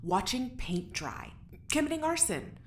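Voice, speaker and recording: A woman's voice: medium pitch, wildly swooping pitch, unhurried, slight background noise. A woman in an audio drama playing a defiant, distrustful prisoner with a blunt, sarcastic way of talking. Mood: scornful.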